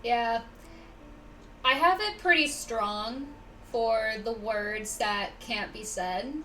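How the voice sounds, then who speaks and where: A woman's voice: on the high side, slow, bright, forceful tone. A woman chatting casually as she hosts a live game stream. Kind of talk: casual livestream chat. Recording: distant mic, faint background noise.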